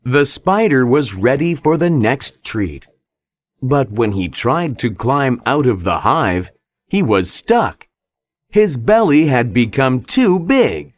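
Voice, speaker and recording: Slow, very lively male storybook narrator. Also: medium pitch, no room sound. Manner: careful, expressive storytelling. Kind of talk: scripted children's read-along narration.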